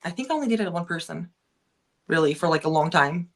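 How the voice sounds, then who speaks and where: A woman's voice: on the low side, animated, brisk. A woman from the United States, a guest in a casual podcast chat, talking candidly in a rambling way full of "like". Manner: rambling and candid, lots of "like" and restarts.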